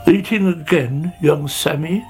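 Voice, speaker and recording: A man's voice: medium pitch, unhurried, darkish tone, faint music behind, lightly acted. A man voicing a grumbling older snail who scolds in a reproving way, in a children's story.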